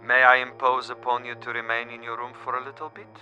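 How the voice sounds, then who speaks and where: An actor playing a sly, falsely friendly agent in a radio drama, insinuating and elaborately courteous. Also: male voice, mid-range voice, completely flat delivery, fast talker, sharp tone, dull audio, soft background music. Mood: sinister courtesy.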